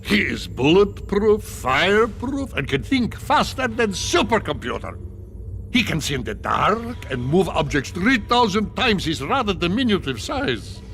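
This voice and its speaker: High, swooping mad alien scientist. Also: male voice, sing-song, unhurried, a bit bright, slight background noise, exaggerated voice. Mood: boastful, scheming. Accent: mock Russian. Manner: theatrical, grandiose, broken grammar. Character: eccentric boastful alien mad scientist, adult male. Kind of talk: animated video game voice acting.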